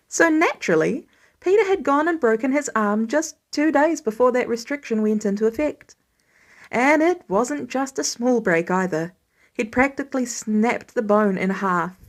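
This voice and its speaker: A woman narrating fiction in an expressive scripted reading style, as an audiobook reader. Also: a little high.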